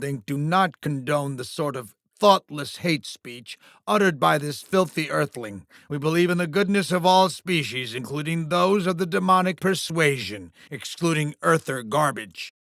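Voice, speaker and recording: A man's voice: a little high, expressive, measured pace, hardly any pauses, fairly bright tone, no echo, studio quality. A man voicing a bombastic, gleefully cruel alien game-show host villain in a video game, with a hammy, grandiose announcing delivery. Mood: scolding showman. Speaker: voice actor.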